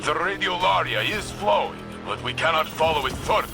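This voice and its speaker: A man acting a brash, excitable soldier on a field mission in a video game. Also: fairly high pitch, brisk, creaky, music in the background. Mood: urgent.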